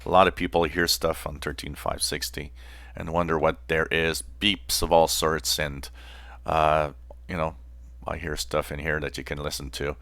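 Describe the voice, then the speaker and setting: Very deep-voiced man, bright and forceful. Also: flat delivery, normal speed, dry recording. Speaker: host of a shortwave radio channel. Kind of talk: informal explanatory demonstration.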